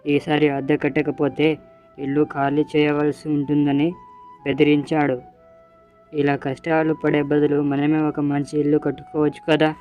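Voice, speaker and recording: A man's voice: fairly high pitch, lightly acted. A man voicing a worried, poor parent sparrow in a scripted cartoon moral story. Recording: dull lo-fi audio.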